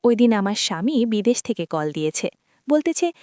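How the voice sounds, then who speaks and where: A woman's voice: mid-range voice, big pitch swings, moderate pace, darkish tone, dry recording, clean studio sound. A woman narrating a first-person story in a confiding tone, talking to her listeners as friends.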